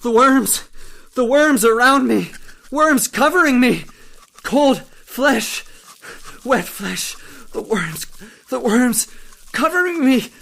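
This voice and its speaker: An actor playing a terrified, guilt-ridden man losing his sanity in an old-time radio horror monologue, halting and repetitive in melodramatic delivery. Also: extremely high pitch, lively intonation, gravelly, fairly bright tone, full character voice. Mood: panicked terror.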